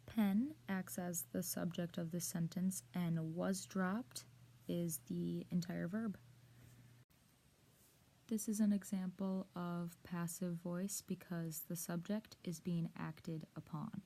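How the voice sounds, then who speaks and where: A woman presenting a scripted lesson in a measured, explanatory way, speaking directly to the viewer. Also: on the low side, fairly even intonation, warm tone. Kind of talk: scripted instructional narration.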